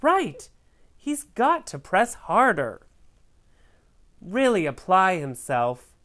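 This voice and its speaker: A woman's voice: on the low side, very animated, slow pace, a bit bright, full character voice. A woman voicing opinionated, gossipy crayon characters from a children's storybook in an exaggerated manner. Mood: critical. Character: chattering, opinionated crayons judging a classmate.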